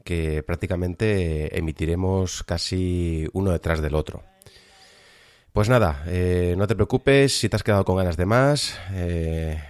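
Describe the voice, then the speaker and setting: Spanish guy doing a podcast, deep voice, chatty, really dry sound. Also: darkish tone, clean tone, dry recording. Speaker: podcast host. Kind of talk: informal podcast narration.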